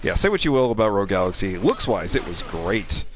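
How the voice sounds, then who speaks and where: A man's voice: on the low side, sing-song, a little fast, faint music behind. A man giving casual, sardonic live gaming commentary, given to exasperated asides. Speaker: game streamer.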